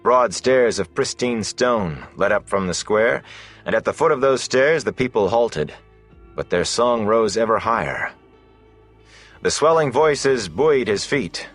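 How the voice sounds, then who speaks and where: A man reading a fantasy audiobook as its narrator, in a measured, deliberate, dramatic storytelling delivery. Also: on the low side, animated, a bit bright, soft background music.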